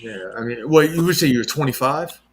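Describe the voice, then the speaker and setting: A man's voice: mid-range voice, fairly quick, slight background noise. A man in his late thirties chatting casually, laid-back and rambling with lots of fillers. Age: 30s. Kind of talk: casual chat.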